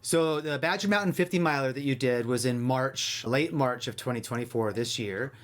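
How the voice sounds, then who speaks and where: A fifty-year-old man hosts an interview, cheering his guest on and answering with short, affirming words. His pitch is middling and even. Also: normal speed. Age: 50s. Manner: encouraging, affirming with short replies. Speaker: podcast host. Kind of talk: interview conversation.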